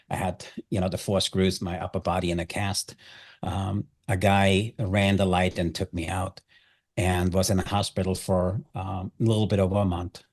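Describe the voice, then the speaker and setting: A middle-aged man with a distinctly low voice and an Austrian accent, his first language being German. He speaks as a chef being interviewed, sharing stories in a conversational style. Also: a little mellow. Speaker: chef and hospitality guest.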